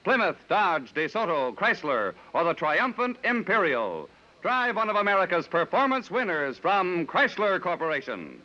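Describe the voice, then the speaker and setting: Vintage radio announcer, male, slightly high and forceful, animated, emphatic, polished delivery, muffled old recording. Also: moderate pace. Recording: dull vintage radio, faint music.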